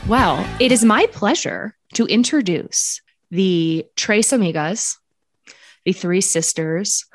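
A woman's voice: medium pitch, animated, slow, faint music behind. A woman hosting a podcast in a casual, blunt manner, swearing freely and poking fun at herself. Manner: blunt and profane, self-mocking. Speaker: podcast host.

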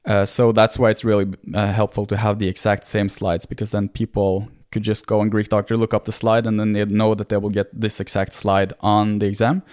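Norwegian-accented young man, lowish voice. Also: completely flat delivery, brisk, hardly any pauses, darkish tone, clear, resonant tone. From Norway. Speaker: podcast guest, medical student. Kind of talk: casual interview chat.

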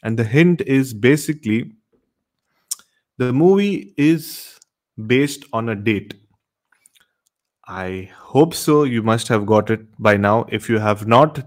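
Man hosting a horror show. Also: medium pitch. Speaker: host of a horror storytelling live show. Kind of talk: live stream presenting to viewers.

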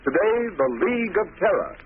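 A male announcer in 1940s radio style, dramatic, emphatic and formal as he presents and pitches. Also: lively intonation, brisk. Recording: tinny, hissy old radio recording.

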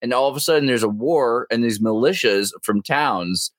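A man's voice: mid-range voice, normal speed, no room sound. A man hosting a podcast in casual, jokey chat, slipping into mock voices now and then. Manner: animated, jokey, mimics voices in asides. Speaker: podcast host.

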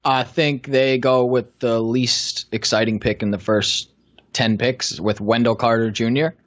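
A man giving a measured draft pick on a podcast panel, steady and with some fillers. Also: mid-range voice. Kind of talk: casual sports draft chat. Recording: dull lo-fi recording.

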